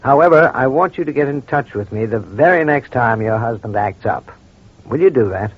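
An actor playing a courteous, probing crime doctor in an old-time radio drama, speaking in a measured, formal, reassuring way. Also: male voice, mid-range voice, animated, slightly rough. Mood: calm, concerned. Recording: muffled vintage radio recording.

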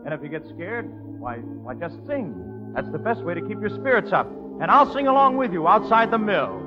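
An actor playing an earnest, devoted young suitor with an American accent in a 1940s radio operetta. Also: male voice, high voice, big pitch swings, brisk. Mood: reassuring. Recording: dull old radio recording with music.

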